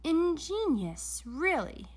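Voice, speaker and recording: A woman's voice: very high voice, sing-song, takes it slowly, voice pushed up, exaggerated voice. A woman voicing a sly male doctor villain in a children's storybook, purring with false politeness. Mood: nervous flattery. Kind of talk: children's storybook read-aloud.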